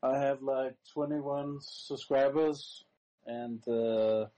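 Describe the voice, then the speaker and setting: A Danish man whose first language is Danish, speaking English in a casual, rambling live-stream monologue, halting with many fillers and restarts, blunt and crude. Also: medium pitch, steady tone, warm tone, poor audio. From Denmark.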